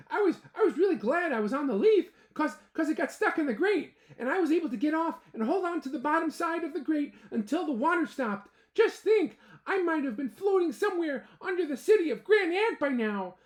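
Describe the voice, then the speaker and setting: A man voicing a young boy ant in a children's storybook, talking in a high, eager, earnest way. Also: very animated, a little fast, few pauses. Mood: relieved. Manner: eager, then solemn preachy.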